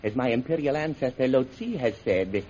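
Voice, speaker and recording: A man's voice: medium pitch, lively intonation, a little fast, dark tone, close to an everyday voice. Dull noisy old radio, guy doing a pompous bootlicking general, formal and flowery. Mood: smug flattery. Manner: formal, flowery, deferential, broken English. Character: obsequious, pompous Chinese general, proverb-quoting henchman. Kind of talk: 1930s radio serial acting.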